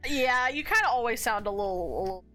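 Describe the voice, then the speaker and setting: A woman's voice: a little high, expressive, fast, pushed and bright, soft background music. A young woman streaming and chatting casually, playful and laughing, with the odd swear. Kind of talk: casual chat.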